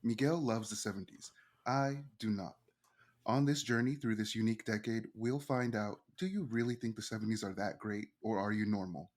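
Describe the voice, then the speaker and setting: A man co-hosting a podcast in casual chat, excitable and self-deprecating, quick to laugh. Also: fairly low pitch. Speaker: podcast co-host.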